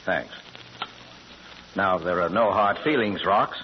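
An actor playing a genial, evasive, educated professor among crooks, courteous and precise, in old-time radio drama. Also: male voice, deep voice, takes it slowly. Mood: polite, cheerful. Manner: courteous, hesitant, chuckling, precise diction. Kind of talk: 1930s-40s radio drama acting. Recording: dull, lightly noisy old radio recording.

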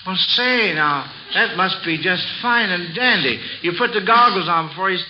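A man's voice: high voice, animated, normal speed, background noise. A middle-aged American man hosting a 1940s radio quiz show in a folksy, encouraging, chatty way with children. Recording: dull lo-fi old radio recording.

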